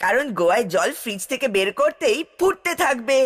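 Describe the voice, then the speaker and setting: A woman's voice: on the high side, big pitch swings, fairly quick, fairly bright tone, soft background music. A woman voicing a bossy, scheming elder-brother boy in a cartoon, whiny and theatrical in a bickering way. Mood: sly. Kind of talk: cartoon dub acting.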